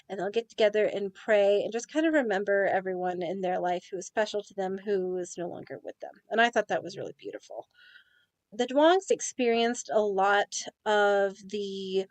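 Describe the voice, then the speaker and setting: A young woman presenting a school assignment report in a steady, earnest way. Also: medium pitch, dry recording. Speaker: student presenter. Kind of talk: reading a class report.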